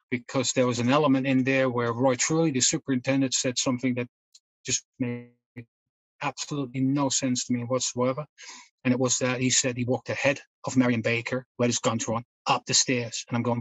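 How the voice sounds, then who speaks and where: Man with a dry, even voice. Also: medium pitch, no echo, low-quality recording. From Netherlands. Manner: rambling, many fillers and restarts. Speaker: guest researcher. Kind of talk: casual storytelling in a podcast interview.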